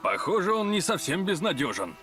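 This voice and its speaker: A man's voice: high voice, very animated, fairly quick. A man voicing a stern, easily exasperated alien combat instructor in a cartoon dub, commanding, dramatic and sardonic. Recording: loud music behind.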